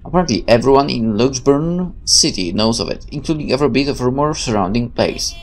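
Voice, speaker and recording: A man's voice: mid-range voice, lively intonation, a little fast, rough recording. A man hosting a let's play, switching between casual commentary and reading the game's narration aloud, with game music behind him. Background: video game music.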